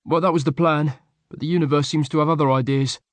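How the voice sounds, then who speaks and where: A man voicing a tense, prickly young man who talks in a clipped, impatient way, raised above his narrating pitch and a bit louder. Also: steady tone, quick pace, dry recording, close to an everyday voice.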